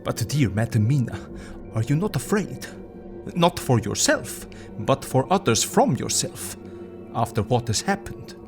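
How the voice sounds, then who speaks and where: An actor playing a solemn, fatherly older professor in an audio drama, speaking gravely and deliberately over music. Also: medium pitch, sing-song. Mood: grave concern. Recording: music under the voice.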